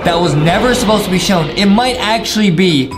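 A young man with a fairly high voice commentates on a video game. He is excitable and slangy, often repeating himself with mock seriousness. Music plays clearly behind him. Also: fairly quick. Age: young adult. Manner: hyped, repetitive, mock-serious. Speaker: gaming video co-host.

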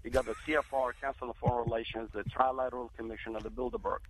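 A man's voice: medium pitch, steady tone, very fast, slight background noise. A man from Iraq, a guest on a political talk radio show, speaking earnestly with many fillers and repeated words. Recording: tinny phone line, dry.

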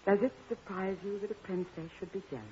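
An actress playing a young princess, refined and formal, in 1950s radio operetta style. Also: female voice, mid-range voice, fast talker, warm tone, lightly acted. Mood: earnest pleading. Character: young royal princess, dignified, pleading, jealous yet gracious. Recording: tinny, narrow old radio broadcast.

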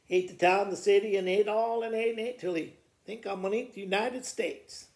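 A man in his sixties with a gravelly voice, reading children's poems aloud like a school principal to students, in a living room with the camera a little way off. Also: high voice, a little fast, a little mellow. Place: living room by a fireplace, indoors. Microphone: camera a short distance away. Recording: roomy indoor echo.

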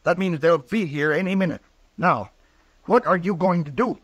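An American actor playing an anxious, self-interested hotel keeper in an old radio western, nagging and urgent. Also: male voice, high-pitched, normal speed, muffled recording, lightly acted. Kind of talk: mid-century American radio western acting.

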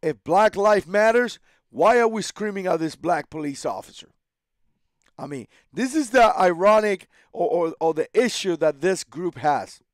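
A man's voice: high voice, expressive, a little slow, dry recording, studio quality. A man in his thirties, born in Cuba, hosting his own channel and speaking into a headset mic with indignant, emphatic commentary full of repeated phrases and restarts. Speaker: channel host. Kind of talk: opinion commentary to camera. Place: small home studio room.

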